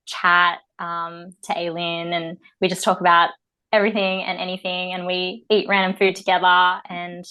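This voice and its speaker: A woman's voice: on the low side, level tone, moderate pace, bright, forceful tone, clean tone. A woman in her twenties with an Australian accent, a podcast guest chatting casually with lots of "um" and "like" and easy giggles. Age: 20s. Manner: chatty, many fillers, giggly. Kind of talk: casual chat.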